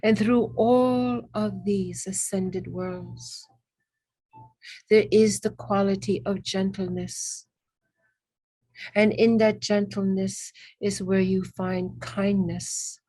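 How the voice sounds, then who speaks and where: A woman leading a spiritual guided meditation in a gentle, soothing, reverent manner, with long pauses between short phrases. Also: medium pitch, fairly even intonation, husky, low-quality recording. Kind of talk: guided meditation with ASMR elements.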